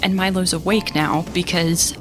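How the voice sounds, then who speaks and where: A woman's voice: fairly low pitch, fairly even intonation, normal speed, over music, natural voice. A woman playing an exasperated young space captain who narrates her story in a sarcastic, conversational way for an audio drama. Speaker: narrator and lead character.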